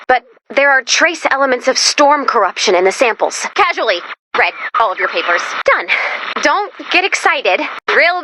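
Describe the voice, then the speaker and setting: A woman's voice: sits high, sharp tone, full character voice, low-quality recording. A woman voicing a perky, nervous, geeky female robot assistant in a video game, chatty, quirky and easily flustered. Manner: flustered, quirky, halting then gushing. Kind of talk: animated video game character voice lines.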